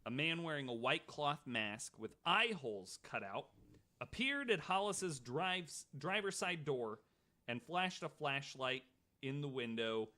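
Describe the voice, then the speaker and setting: A man's voice: medium pitch, lively intonation, unhurried, pushed and bright. A man hosting a podcast, telling true-crime stories casually with profane, joking asides. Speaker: podcast host.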